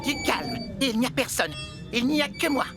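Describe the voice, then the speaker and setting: A woman voicing an excitable, timid young vehicle in a French children's cartoon dub. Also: sits high, normal speed. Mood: frightened. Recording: loud music behind voice.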